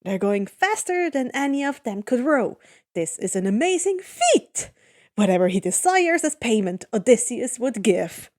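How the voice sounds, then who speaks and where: A woman narrates a piece of fan fiction as a dramatic story. Her voice sits a little high and she takes her time, reading at a clearly slow pace. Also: big pitch swings, a little mellow.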